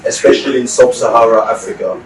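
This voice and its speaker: A young man from Cameroon, an exchange student doing his master's, answering interview questions in a measured, explanatory way. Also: medium pitch. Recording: roomy echo, distant mic, faint noise.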